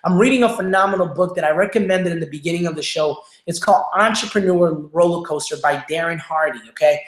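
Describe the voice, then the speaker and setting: A fast-talking man with a Mexican accent hosts a motivational livestream from his phone. His voice sits high for a man and carries a roomy echo from the room around him, and he keeps checking in with his viewers as he pushes each point. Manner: energetic, repetitive, fast-talking, asks "right?" and "okay?" after each point. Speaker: livestream show host. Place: home room without studio lighting. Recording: echoey room.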